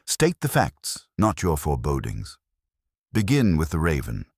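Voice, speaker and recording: A man acts out a haughty genius detective from a mystery story. The voice is extremely low and a little husky, with precise, pompous pronouncements and an irritated temper. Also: wildly swooping pitch, measured pace, darkish tone, no echo, clean studio sound, heavily acted. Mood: stern.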